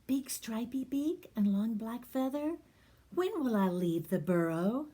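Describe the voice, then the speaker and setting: A woman's voice: fairly high pitch, animated, a little slow, dark tone, breathy edge, pitched above the performer's own voice. A woman voicing a small, eager young male puffin chick who keeps asking questions.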